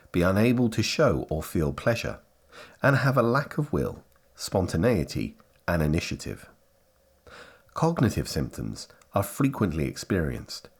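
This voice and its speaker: A man reading scripted instructional narration for a training film in a measured, carefully phrased way. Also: low voice, husky.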